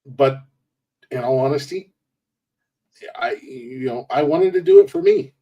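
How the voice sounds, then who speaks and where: A man's voice: medium pitch, sing-song, measured pace, dull audio. A man in his forties hosting a casual podcast, rambling and restarting sentences, laughing at his own jokes. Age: 40s. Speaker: podcast host.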